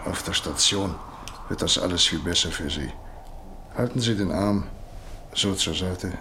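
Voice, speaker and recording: A doctor in a radio play, lowish voice, calm and blunt, hissy noisy old recording. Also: male voice. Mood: calm, attentive. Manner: matter-of-fact, measured, solicitous. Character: calm, blunt doctor tending a reluctant patient. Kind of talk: German radio drama acting.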